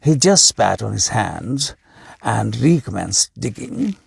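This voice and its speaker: A man reading a tale aloud as a scripted narration, deliberately, with pauses between short phrases. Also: lowish voice, big pitch swings, gravelly, slightly rough, no echo, clean studio sound.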